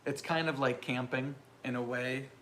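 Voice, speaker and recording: Man, thirties, loud voice, rambling, self-mocking, casual live chat, faint noise. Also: medium pitch, fairly even intonation, normal speed. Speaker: channel host.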